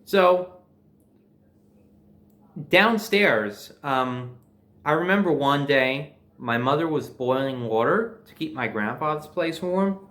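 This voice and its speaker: A young man telling personal stories in a casual, animated way, swearing freely and acting out bits of dialogue. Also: a little high, slow pace, pauses often. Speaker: storyteller.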